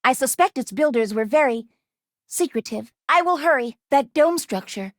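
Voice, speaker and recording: Game role: young female robot, high voice, very dry recording, earnest, self-doubting, formal and precise. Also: animated, normal speed, no echo. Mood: earnest, helpful. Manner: formal, precise, careful diction without contractions. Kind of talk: video game character voice lines.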